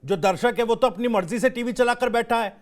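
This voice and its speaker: A man's voice: very high-pitched, brisk. A man anchoring a live TV news debate, putting pressing, challenging questions to panelists.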